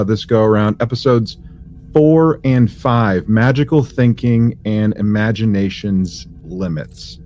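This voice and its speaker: A man hosting a podcast in casual conversation, talking in a halting, conversational way with frequent fillers. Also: mid-range voice, a little mellow, a little hiss or hum. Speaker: podcast host.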